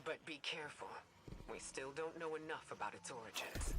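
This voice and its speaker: A woman's voice: low voice, animated. Wise, cautious female leader, noisy backdrop. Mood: concerned, reproving. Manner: measured, formal, admonishing. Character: wise, cautious woman leader, firm and concerned. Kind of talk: video game dramatic dialogue. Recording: game audio under effects noise.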